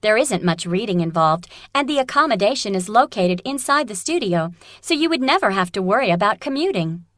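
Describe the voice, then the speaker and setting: A woman playing a practical young student in a scripted, measured and clearly enunciated listening-test dialogue. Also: mid-range voice, expressive, a bit bright, no room sound, clean studio sound, natural voice. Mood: matter-of-fact. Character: practical female student weighing art courses.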